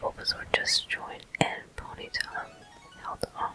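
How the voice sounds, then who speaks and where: Bright, breathy ASMR whisper. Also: measured pace, faint music behind. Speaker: gameplay walkthrough host. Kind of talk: ASMR whispered game commentary.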